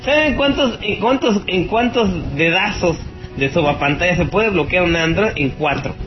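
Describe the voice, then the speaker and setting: Radio host, male, Mexican accent, notably high voice, teasing and slangy, on a dull lo-fi stream with music clearly behind. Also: lively intonation, moderate pace. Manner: colloquial, mocking, teasing listeners, chuckling. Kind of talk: informal tech-advice monologue.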